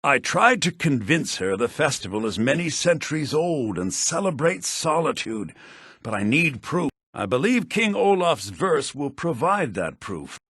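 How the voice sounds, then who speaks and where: An actor playing an earnest, theatrical head bard in a fantasy video game, speaking formally with a slightly raspy voice. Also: male voice, a little high, big pitch swings, measured pace, fairly bright tone, dry recording, clean studio sound, full character voice. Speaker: game character, bard college leader.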